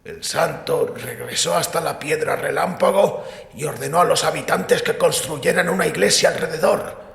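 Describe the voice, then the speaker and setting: A male actor plays a devout, stubborn priest in an audio drama. His voice is extremely creaky and gravelly, set somewhat high for a man, with solemn, earnest conviction. A long hall reverb surrounds him. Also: normal speed. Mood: solemn storytelling. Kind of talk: Spanish audio drama acting.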